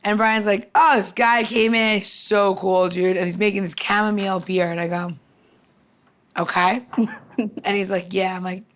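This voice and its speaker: A woman's voice: mid-range voice, moderate pace. Blunt, salty woman podcast host. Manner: blunt, salty, animated storytelling with quoted dialogue. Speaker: cocktail maker. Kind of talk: casual podcast chat with anecdotes.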